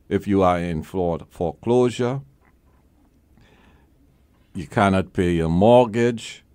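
A man's voice: deep voice, a little mellow, no echo. A man hosting a call-in legal advice show as a lawyer, talking bluntly and with emphasis, repeating his key points.

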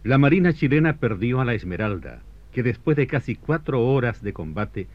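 A man's voice: mid-range voice, very animated, moderate pace. A man narrating a scripted historical radio drama in a dramatic, declamatory way. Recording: dull lo-fi radio recording with music.

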